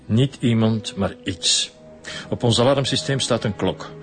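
Actor playing a smug Flemish landowner, mid-pitched. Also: male voice, measured pace, faint music behind, lightly acted. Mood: smug, defensive. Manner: condescending, chuckling. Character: smug, mocking well-off male landowner, falsely jovial. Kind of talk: radio crime drama acting.

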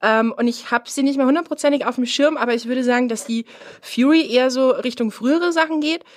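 A woman's voice: high voice, fairly quick. Stuffy-nosed woman hosting a radio show. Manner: relaxed, chatty, frequent "ähm" fillers. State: stuffy from a cold. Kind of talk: casual radio chat.

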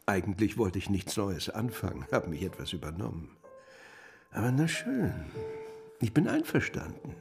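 An actor playing a wealthy, cautious financier in a radio drama, businesslike and instructing. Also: male voice, fairly low pitch, sing-song, normal speed, creaky, over music. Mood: hesitant agreement. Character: wealthy, cautious male financier setting conditions.

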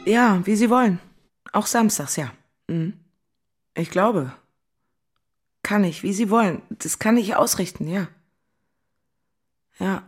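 Husky, volatile lovesick woman. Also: medium pitch, takes it slowly, pauses often, faint music behind. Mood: subdued, curt. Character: lovesick, volatile single woman, tearful and crudely angry. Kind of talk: literary audio drama acting.

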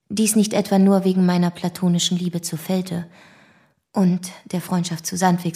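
An actress playing a composed, formal woman who gives a measured, matter-of-fact witness account in a radio play. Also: lowish voice, a little mellow. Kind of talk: radio play narration, scripted. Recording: music behind the voice.

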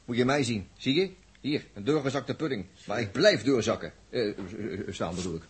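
A man's voice: mid-range voice, expressive, moderate pace, husky. An actor in a 1960s radio drama playing an informal spaceship crewman who rambles colloquially with small hesitations. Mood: weary joking.

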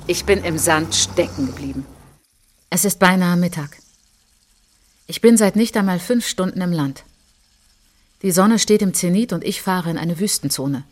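An actress narrating as a reflective, resolute woman looking back on her childhood in a German radio drama, in measured short phrases. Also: on the low side, natural voice. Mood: tense, matter-of-fact. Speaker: narrator of autobiographical monologue. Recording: music behind the voice.

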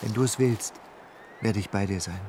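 An actor playing a persuasive lover who talks low, coaxing and insistent, in a noisy old radio play recording. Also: male voice, moderate pace, a little mellow, lightly acted. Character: smooth persuasive male lover, urging escape. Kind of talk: radio drama acting.

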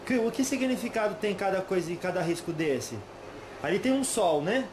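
A man's voice: high voice, animated, moderate pace. A man with a Brazilian accent asking questions in a casual, respectful way, deferentially echoing back the answers he hears. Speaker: interviewer. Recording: roomy, echoing, clearly noisy amateur recording.

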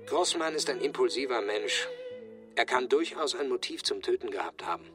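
A man plays an eager scholar in a radio drama. His pitch moves in lively swings as he talks in a pedantic, slightly hesitant, shy way, with music clearly behind him. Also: moderate pace, natural voice. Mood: earnest, pedantic. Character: eager, bookish male researcher, shyly flirtatious.

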